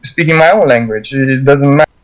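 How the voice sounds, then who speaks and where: A man with a Romanian accent, a native Romanian speaker, chatting as a guest artist, halting and stuttering with frequent restarts. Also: mid-range voice, rough recording. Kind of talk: casual conversation.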